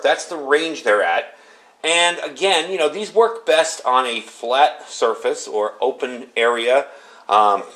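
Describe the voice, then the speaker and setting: A man with a mid-pitched voice talks through a product demonstration. His delivery is casual and unscripted, with plain-spoken explanations. He sounds conversational, like a reviewer walking someone through features. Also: fairly bright tone.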